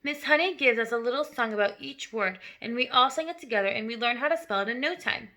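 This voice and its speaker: A woman voicing a brave, eager young schoolboy who speaks quickly and chirpily. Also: on the high side, bright, forceful tone.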